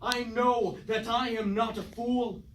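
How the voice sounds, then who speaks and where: A man plays a bitter robot warrior villain who scorns peace. He declaims in grand, archaic stage-tragedy style, with a high voice and slow delivery. It is heard from a distance in an echoey room. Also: fairly even intonation. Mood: bitter, wounded. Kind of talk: staged reading of mock-Greek tragedy. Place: indoor staged reading, mic at a distance. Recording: echoey room, distant mic, faint noise.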